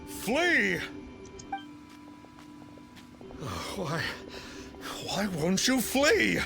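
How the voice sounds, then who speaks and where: A man's voice: high voice, very animated, very slow, creaky, heavily acted. A man playing a boastful fake exorcist in a game, with pompous, archaic, haughty speech. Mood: commanding, flustered. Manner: pompous, archaic, calls himself "one". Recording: game music behind. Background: game music.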